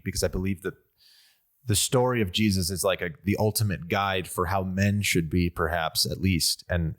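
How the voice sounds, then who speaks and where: A man's voice: sits low, a bit bright. A young man from the United States whose first language was Armenian, hosting a casual conversation with frequent hesitations and restarts. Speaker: podcast host.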